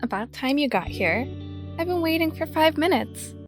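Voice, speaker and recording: A woman's voice: sits high, lively intonation, moderate pace, over music. An actress playing a bruised teenage girl who is bossy and teasing.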